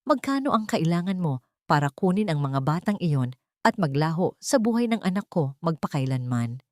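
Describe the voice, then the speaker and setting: Lady narrator doing a rich old matriarch, cold n snobby, bossy, mellow voice, story drama. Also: sits low, sing-song, normal speed, no room sound, studio quality. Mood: sharp contempt. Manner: cold, contemptuous, commanding. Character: haughty, cold, wealthy older matriarch.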